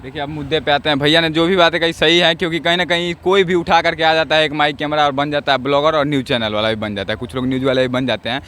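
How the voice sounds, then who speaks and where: Male field interviewer, bright forceful voice pitched a little high, news-channel style, recorded outdoors. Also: fairly even intonation, fast talker, hardly any pauses. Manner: interjects short agreements while listening. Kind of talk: on-location street news interview. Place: outdoors in a town, near a road.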